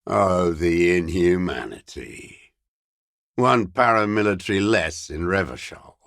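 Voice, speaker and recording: A very deep, gravelly old deserter. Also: male voice, expressive, fairly bright tone, no room sound, studio quality, exaggerated voice. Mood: bitter, gloating. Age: older. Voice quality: gravelly, husky, raspy. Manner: slow, brooding, contemptuous, halting. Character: bitter old communist deserter, hateful, obsessive, weary. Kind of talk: video game character monologue.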